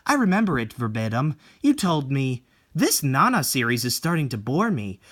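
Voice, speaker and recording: A man's voice: medium pitch, very animated, normal speed, fairly bright tone. A man voicing a sly, haughty young woman with a snobby, mocking manner in a horror comic reading. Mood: smug, taunting. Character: sly, haughty, manipulative young female rival.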